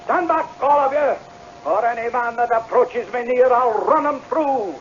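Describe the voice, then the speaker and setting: A high-pitched, desperate piper from an old radio play, quick to defer and quick to declaim. The recording is dull and clearly noisy. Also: male voice. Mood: desperate, defiant. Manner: deferential stammering that turns to impassioned declaiming. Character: desperate tenant piper, humble then defiant storyteller. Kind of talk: old-time radio drama acting.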